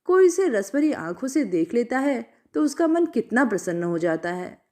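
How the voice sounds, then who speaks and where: A woman storyteller narrating a literary story from a script in an expressive way. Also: on the high side, moderate pace.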